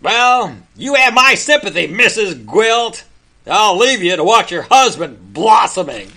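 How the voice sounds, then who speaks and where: Radio drama mocking neighbor male actor: taunting, animated, sharp high voice. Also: unhurried, full character voice. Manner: taunting, sarcastic. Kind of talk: community radio theater acting.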